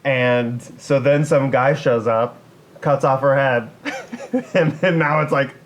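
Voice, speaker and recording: A man's voice: mid-range voice, takes it slowly. Casual man telling myths as podcast co-host. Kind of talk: casual chat retelling myths.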